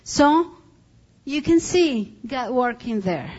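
A woman's voice: sits high, expressive, slow pace, a little mellow, muffled recording. A woman from Colombia with a Colombian Spanish accent, giving a missionary report talk and often stopping to search for English words.

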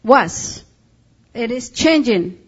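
A woman's voice: fairly high pitch, lively intonation, drawn-out words, husky, muffled recording. A woman from Colombia with a Colombian Spanish accent, giving a missionary report talk and often stopping to search for English words.

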